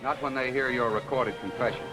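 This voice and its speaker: An actor playing a composed, clever detective in 1950s television drama, matter-of-fact and assured. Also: male voice, medium pitch, fairly even intonation, brisk, lightly acted, rough recording. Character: composed, clever male detective trapping a killer. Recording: old TV soundtrack, music behind.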